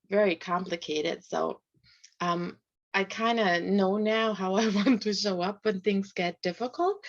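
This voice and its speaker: A middle-aged woman, a life coach, speaking softly in a casual video call interview, with light laughs. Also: mid-range voice. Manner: soft-spoken, often laughs lightly. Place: home room. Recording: slightly dull video call audio.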